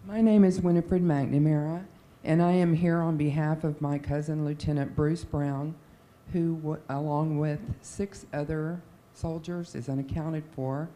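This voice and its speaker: A woman's voice: low voice, steady tone, unhurried, few pauses, mellow. A woman, cousin of a missing soldier, giving earnest, encouraging remarks at a family meeting. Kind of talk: open-mic remarks.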